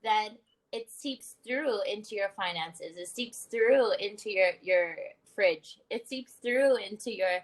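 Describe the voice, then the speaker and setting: A young adult woman hosting a podcast interview in a mid-pitched voice. She talks animated and reflective, and she laughs easily as she shares her thoughts. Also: slow, low-quality recording. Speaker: podcast host. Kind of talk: conversational interview.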